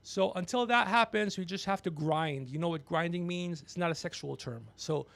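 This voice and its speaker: A man with a fairly high, gravelly voice hosts a loose comedic livestream. He rambles through stories and banter, blunt and profane, often needling whoever he is talking to. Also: normal speed, fairly bright tone, dry recording. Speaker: podcast livestream host.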